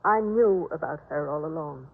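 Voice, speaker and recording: Earnest biblical mistress, husky, old radio. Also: female voice, deep voice, animated, moderate pace, full character voice. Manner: earnest, imploring. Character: gentle, pleading, principled mistress of a biblical household. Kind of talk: 1950s radio drama acting. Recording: muffled narrowband 1950s radio recording.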